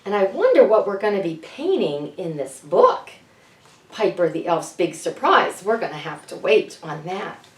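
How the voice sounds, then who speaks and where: A woman's voice: on the low side, big pitch swings, normal speed. A woman presenting a children's storytime in a teacherly way, putting questions to young listeners. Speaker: children's author presenting at a library story event. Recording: roomy echo, distant microphone.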